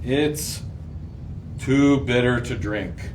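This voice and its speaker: A man's voice: mid-range voice, extremely slow, a little mellow. Playful man leading in an echoey room. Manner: playful, slangy, lots of questions to the group. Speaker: group Bible reading leader. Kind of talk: casual, interactive Bible lesson. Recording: roomy echo, distant mic, faint noise.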